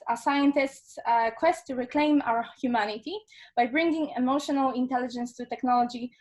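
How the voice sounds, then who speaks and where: A woman hosting an interview, introducing her guest and asking questions with many hesitations. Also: high voice. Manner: hesitant, many fillers and restarts. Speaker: interview host.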